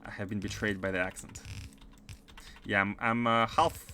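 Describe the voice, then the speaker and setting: A man's voice: fairly low pitch, normal speed, slight background noise. A man with a mixed Russian and French accent giving casual, filler-heavy commentary while he plays a game. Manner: chatty, many fillers, bursts of excitement. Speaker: game streamer.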